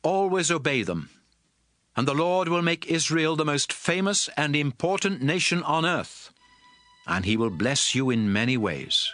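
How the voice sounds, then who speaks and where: A man's voice: a little high, lively intonation, unhurried, fairly bright tone, dry recording, faint music behind. A man playing a commanding prophet and lawgiver in a dramatized scripture reading, solemn, emphatic and declamatory. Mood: instructing, solemn. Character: Moses, commanding male prophet and lawgiver addressing his people.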